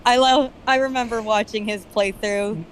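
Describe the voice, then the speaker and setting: A young woman with a fairly high voice chats casually about games. There is a faint hum of noise behind her. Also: fairly even intonation, unhurried, low-quality recording. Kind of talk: casual gaming chat.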